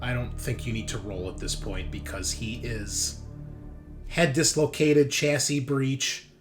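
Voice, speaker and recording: A man's voice: mid-range voice, fairly even intonation, a little slow, over music. A man running a tabletop roleplaying game, narrating off the cuff in a casual, vividly descriptive way. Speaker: game master.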